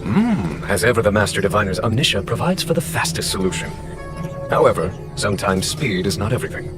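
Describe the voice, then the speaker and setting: A languid male general with a low voice that moves freely in pitch. He is courteous, formal and quietly amused, a composed game commander speaking over music. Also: very animated. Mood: calm, amused. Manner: unhurried, courteous, wry, formal. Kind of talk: scripted video game voice acting.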